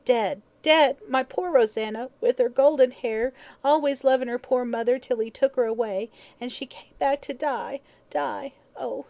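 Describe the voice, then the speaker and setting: A woman voicing a dying old hag in an audiobook, shrill and wailing, greedy and terrified, with rough uneducated speech. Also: moderate pace, hardly any pauses, darkish tone, no echo. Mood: weeping, mournful.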